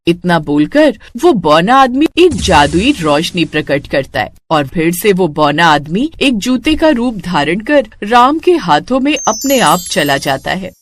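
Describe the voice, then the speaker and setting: A woman's voice: on the low side, big pitch swings, a little slow, few pauses, a little hiss or hum. A woman narrating a scripted moral story for children as its storyteller.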